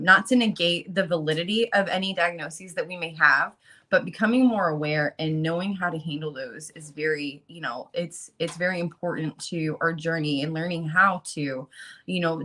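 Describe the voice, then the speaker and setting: Woman, late twenties, bright lowish voice, earnest and halting, lots of fillers, just out of bed. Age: late 20s. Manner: earnest and halting, with many ums and you-knows. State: just woke up. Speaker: guest speaker on a channel about fighting child protective services. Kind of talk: casual talk, partly reading resources aloud.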